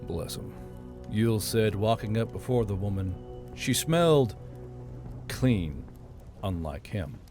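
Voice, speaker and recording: A man's voice: on the low side, animated, measured pace, pauses often, darkish tone. A man narrating a fantasy story as an audiobook reader and channel host. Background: background music.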